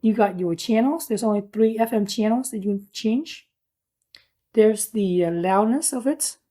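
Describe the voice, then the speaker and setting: A woman's voice: medium pitch, a little slow, dark tone. A woman giving a casual hands-on product review, explaining things in an informal, plain-spoken way.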